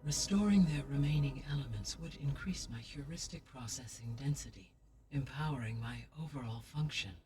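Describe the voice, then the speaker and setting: A woman voicing a calm, formal female AI guardian in a video game, speaking in a measured, precise way. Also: very deep voice. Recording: distant game audio with music.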